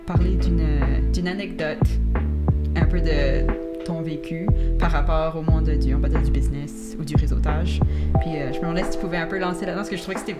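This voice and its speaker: A woman's voice: low voice, fairly quick. Woman hosting over music. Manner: relaxed, laughs along, interjects often. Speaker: host. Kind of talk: casual conversation.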